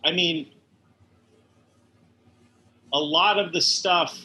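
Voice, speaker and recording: A man's voice: on the high side, fairly even intonation, drawn-out words, sharp tone. A middle-aged or older man, an interview guest recalling his work in animation with emphatic enthusiasm. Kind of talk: conversational recollection.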